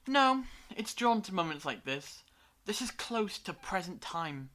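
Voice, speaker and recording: A woman playing a brainy time-travelling alien in a fan audio drama, lecturing gravely in deliberate monologues with dramatic pauses. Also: mid-range voice, big pitch swings, a bit bright. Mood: explaining intently.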